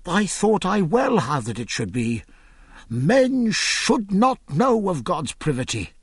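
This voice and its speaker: Guy putting on a fretful old carpenter, pious and panicky, high and husky, real slow. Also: big pitch swings, fairly bright tone, no room sound, clean studio sound, exaggerated voice. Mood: alarmed. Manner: frantic, pleading cries. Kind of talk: reading Middle English verse aloud.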